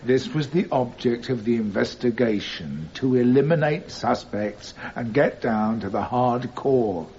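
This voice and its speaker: An actor playing a firm, official senior police investigator giving formal, emphatic testimony in a radio drama. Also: male voice, medium pitch, animated, measured pace, hardly any pauses, darkish tone. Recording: slightly dull, noisy radio recording.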